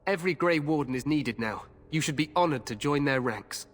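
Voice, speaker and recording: A man's voice: on the high side, expressive, brisk, a bit bright. A glory-hungry young king. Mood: regal. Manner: regal, confident, commanding. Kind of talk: video game voice acting. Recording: long hall reverb with game music behind.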